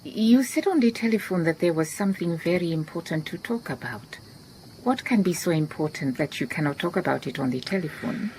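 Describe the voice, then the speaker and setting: An actress playing a calm, shrewd, kindly detective in a radio drama, with a Southern African accent and a measured, gently wry delivery. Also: female voice, on the low side, slight background noise, poor audio.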